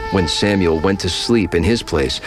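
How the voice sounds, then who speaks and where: A man narrating scripture in a steady, measured storytelling voice for a dramatized audio Bible. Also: low-pitched, background noise.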